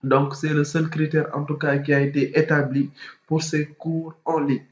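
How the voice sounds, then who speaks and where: A man's voice: a little high, level tone, moderate pace, echoey room, lo-fi sound. A man with a cold, speaking, explaining university procedures to students in an informal, hesitant way with many fillers. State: has a cold, congested voice. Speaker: student mentor.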